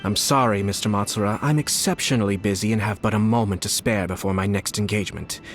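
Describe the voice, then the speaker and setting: An actor playing a busy, haughty high-ranking official who speaks in a curt, formal and condescending way, in a studio game. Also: male voice, fast, lightly acted. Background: music.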